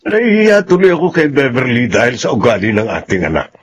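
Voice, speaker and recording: Measured, principled radio-drama father. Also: medium pitch, very animated, husky. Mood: disappointed. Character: principled, mildly stern middle-aged father.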